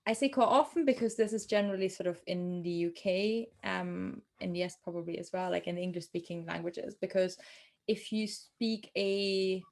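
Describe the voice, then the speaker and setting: A woman's voice: fairly low pitch, steady tone, moderate pace. Chatty German-accented woman translator. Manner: chatty, many ums and restarts. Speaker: translator guest. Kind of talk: casual interview answers.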